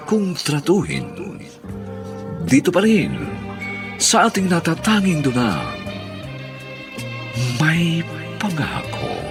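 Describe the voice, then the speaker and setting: Grandiose Filipino male announcer, sweeping intonation. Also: medium pitch. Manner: grandiose, solemn delivery with drawn-out titles. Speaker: radio drama announcer and narrator. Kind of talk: dramatic scripted narration. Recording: music under the voice.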